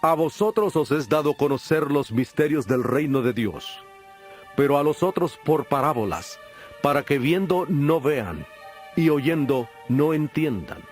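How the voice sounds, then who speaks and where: A holy teacher in a dramatized scripture recording. His voice sits a little high for a man and moves in measured, declamatory phrases, patient yet authoritative. Soft music runs behind him. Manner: measured, declamatory preaching delivery. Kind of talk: dramatized audio Bible acting.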